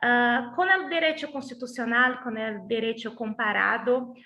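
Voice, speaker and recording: A woman from Brazil, a native Portuguese speaker with a Brazilian accent, opening an online lecture in halting Spanish, often restarting words as she searches for them. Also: fairly high pitch, sharp tone, highs cut off. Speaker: guest speaker, lawyer.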